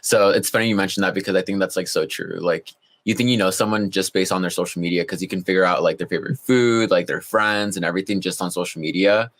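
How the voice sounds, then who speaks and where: A laid-back young man with a very low voice, chatting as a podcast guest. He fills his sentences with "like" and keeps an easy, relaxed flow. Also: fast. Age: young adult. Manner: laid back, heavy use of "like". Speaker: guest, college student. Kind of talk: casual interview chat.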